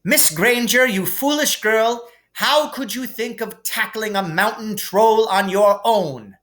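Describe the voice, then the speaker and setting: A man's voice: sits high, takes it slowly, a bit bright, pitched above the performer's own voice. A man voicing a stern, coldly angry older woman professor in a storybook reading, clipped and scolding. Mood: cold fury.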